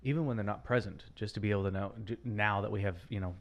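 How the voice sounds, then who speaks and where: A man's voice: fairly low pitch, fairly even intonation, fast, muffled recording. A man joining the chat as a co-host, talking his thoughts through with restarts. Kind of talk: casual chat.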